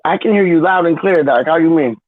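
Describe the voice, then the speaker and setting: A man chatting on a live stream in an animated, slangy way, acting out little dialogues as he tells stories. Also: on the high side, fast, phone-quality sound. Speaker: guest of honour, birthday person. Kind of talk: casual live-stream chat.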